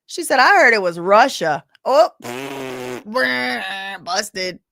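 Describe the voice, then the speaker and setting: A woman's voice: mid-range voice, sing-song, drawn-out words, creaky, bright, forceful tone, dry recording, clean studio sound. A woman hosting a casual livestream, recapping court testimony, reading the chat and reacting with exclamations. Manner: chatty, reads chat, gasps and exclaims. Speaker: livestream host recapping a trial.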